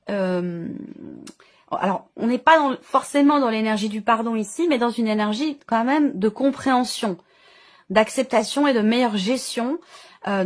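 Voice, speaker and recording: A woman with a fairly high voice reads tarot cards aloud for her viewers. She improvises as she goes, searching for words and rephrasing each idea in several ways. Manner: hesitant, searching phrasing with repeated enumerations. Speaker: tarot card reader and channel host. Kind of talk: intuitive tarot reading, improvised spoken commentary.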